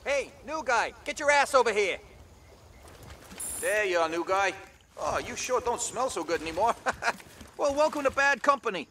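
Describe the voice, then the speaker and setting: An actor playing a chatty, sarcastic and cautious army soldier in a military video game. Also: male voice, high-pitched, expressive, normal speed, pauses often, bright, forceful tone. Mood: teasing, relieved. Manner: talkative, sarcastic. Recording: faint outdoor vehicle noise behind.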